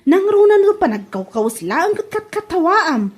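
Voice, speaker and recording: A woman playing a sharp-tongued, gossipy village wife in a comedy drama, scolding emphatically with an Ilocano accent. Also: very high-pitched, big pitch swings, moderate pace. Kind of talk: Ilocano comedy radio-style drama acting.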